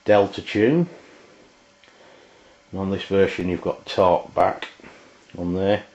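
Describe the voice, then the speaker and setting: A man with an English accent giving an informal, unscripted hands-on product demonstration, halting and often pausing mid-sentence. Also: sits low, a little mellow. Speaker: product reviewer. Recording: roomy, dull lo-fi camera sound.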